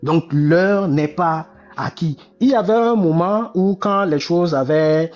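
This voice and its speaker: A man's voice: a little high, takes it slowly, a little mellow, dull audio, soft background music. A man, making impassioned political commentary and repeating key phrases with many restarts. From Togo. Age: 40s. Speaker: commentator on a live discussion.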